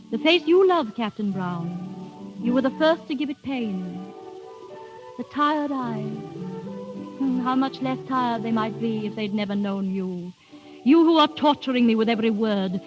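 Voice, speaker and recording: Genteel lady, high-pitched, proud, wistful, formal diction, vintage radio drama, muffled recording with music. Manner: formal, clipped, dramatic challenging questions. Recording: dull, band-limited old radio recording, music behind.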